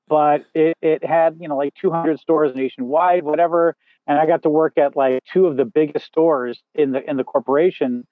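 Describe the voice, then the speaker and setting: A man with a big booming voice, a film assistant director chatting as an interview guest, rambling with many fillers and restarts. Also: no room sound. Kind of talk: casual interview chat. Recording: dry tinny phone-like sound.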